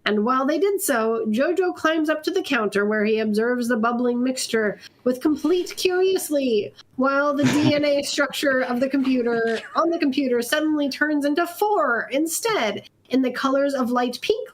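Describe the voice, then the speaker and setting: A woman's voice: sits high, low-quality recording. A woman narrating fan fiction aloud for laughs in an amused, theatrical storytelling way. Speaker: narrator in a comedy reading group.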